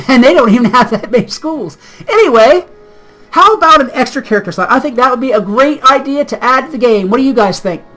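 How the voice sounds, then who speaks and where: A woman hosting a casual gaming vlog, slightly hoarse as if getting sick, chatty and rambling and easily sidetracked. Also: high-pitched, lively intonation, fairly quick, fairly bright tone, faint music behind. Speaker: gaming channel host.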